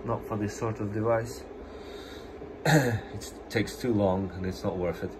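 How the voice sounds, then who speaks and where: Deep-voiced man with a cold. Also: fairly even intonation, normal speed, noisy background. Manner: plain, explanatory, some restarts. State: has a cold. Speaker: phone repair technician. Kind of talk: casual hands-on repair demo. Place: repair workbench indoors. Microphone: phone camera held over bench.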